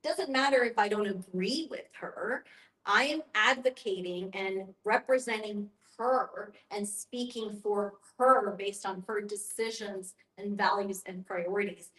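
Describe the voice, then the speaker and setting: A woman's voice: medium pitch, a little slow, fairly bright tone. A woman leading a group discussion as facilitator, speaking in an earnest, explanatory way with emphatic repetition. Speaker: guest facilitator, end-of-life educator. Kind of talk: guided group discussion with personal anecdotes. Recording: echoey room.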